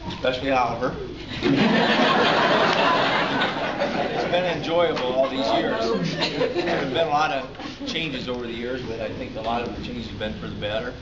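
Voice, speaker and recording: An older man telling a story with chuckles and rambling thanks to coworkers at a company picnic. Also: medium pitch. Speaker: manager speaking before a pie in the face. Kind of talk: casual speech to coworkers. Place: large indoor hall at a company picnic. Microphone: far camera. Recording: echoey hall from far off, dull lo-fi camera audio. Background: noisy crowd of coworkers.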